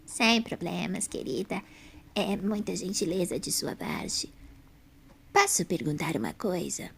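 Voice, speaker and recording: Audio drama elderly lady, woman actor: soft, creaky, lowish voice, slow and hesitant, gentle temper. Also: very animated, a little hiss or hum, heavily acted. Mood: gentle, curious. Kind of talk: audio drama fan story.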